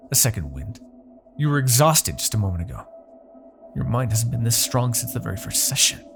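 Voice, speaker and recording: A man playing an arrogant, possessive demon king in a husky, theatrical ASMR fantasy roleplay. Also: medium pitch, very animated, music in the background. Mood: strained, determined. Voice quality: husky, gravelly. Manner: theatrical, deliberate, swinging from murmurs to outbursts. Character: arrogant, possessive male demon king, obsessed with captive hero. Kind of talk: ASMR roleplay, dramatic monologue.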